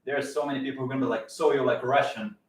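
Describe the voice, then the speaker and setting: A man's voice: mid-range voice, fairly even intonation, very fast. A man with a foreign accent, a guest on a talk show, speaking in a wry, exasperated way. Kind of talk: casual talk show chat. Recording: echoey room, distant mic, faint noise.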